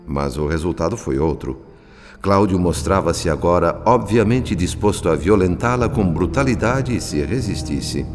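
A deep-voiced Brazilian man a grave storyteller in a radio drama. He narrates solemnly and emphatically in literary phrasing. Long reverb surrounds the voice, with music underneath. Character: grave male spirit narrator recounting events as witness.

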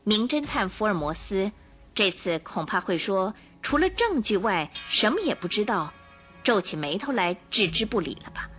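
A woman narrating a radio drama in an expressive, suspenseful storytelling manner. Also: medium pitch, normal speed, faint music behind.

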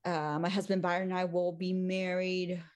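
A woman in her forties, an English professor and podcast guest, chatting casually and thoughtfully, with many pauses and frequent laughs. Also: fairly low pitch, flat delivery, darkish tone. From Taiwan, raised in the US. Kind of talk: casual conversation.

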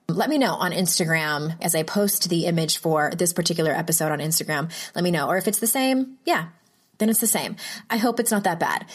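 A woman hosting her own podcast, chatting casually and self-deprecatingly into a home microphone, laughing often. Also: fairly low pitch. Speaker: podcast host. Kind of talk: casual solo podcast monologue.

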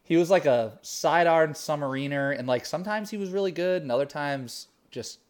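A man co-hosting a podcast, recalling stories in an animated, jokey casual chat. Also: a little high, moderate pace, clear, resonant tone. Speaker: podcast co-host.

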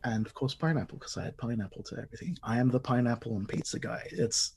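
An enthusiastic man in his forties chats as a podcast guest, his accent a blend of Australian, Dutch and British. His voice sits at an average pitch. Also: animated, fast talker, creaky, darkish tone, slightly rough. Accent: mixed Australian, Dutch and British. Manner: chatty and enthusiastic, lots of restarts. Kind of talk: casual podcast chat.

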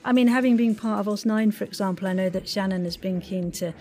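A woman's voice: medium pitch, quick pace, warm tone. A woman podcast host chatting casually in a bubbly, quirky, encouraging way. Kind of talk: casual interview chat.

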